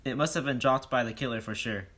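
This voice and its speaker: An actor playing a bold, self-important police detective, declaiming in rhyme in a comic audio drama. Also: male voice, medium pitch, fast, fairly bright tone, close to an everyday voice. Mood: puzzled, deducing. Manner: declamatory, questioning, speaks in rhyme.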